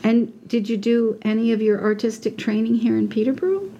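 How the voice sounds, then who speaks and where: Woman radio host, warm mellow tone, keeps the guest going with questions and mm-hmms. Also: on the high side, fairly even intonation, a little fast, highs cut off, a little hiss or hum. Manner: prompting, with frequent mm-hmms. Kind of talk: radio interview. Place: radio studio.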